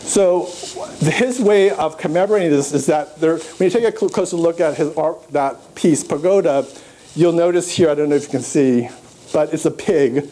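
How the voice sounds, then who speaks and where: A man born in Burma giving an academic conference talk on art, pausing often with uh and um as he walks through his slides. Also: on the high side, dark tone, rough recording. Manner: halting, many uh and um fillers, pointing to slides. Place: lecture room at a conference.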